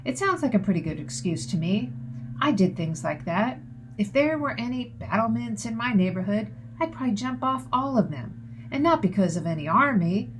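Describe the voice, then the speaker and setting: A woman giving an expressive read-aloud of a children's novel. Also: a little high, moderate pace, rough recording. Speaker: author reading aloud. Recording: noticeable background noise.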